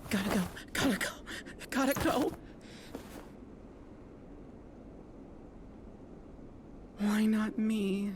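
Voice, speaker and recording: A woman voicing an eerie young child in an animated fairy tale. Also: medium pitch, normal speed, noisy background, natural voice. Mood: anxious. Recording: loud music under the voice.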